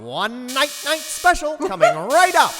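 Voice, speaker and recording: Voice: high voice, very animated, measured pace. A performer playing a gleefully menacing thug henchman in an audio drama. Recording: echoey hall, buzzing noise, distant timbre.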